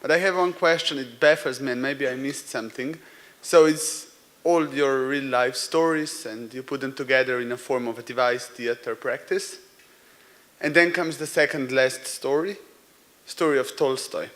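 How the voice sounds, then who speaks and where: A man's voice: medium pitch, normal speed, fairly bright tone. Man asking a casual question from the audience. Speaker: audience member.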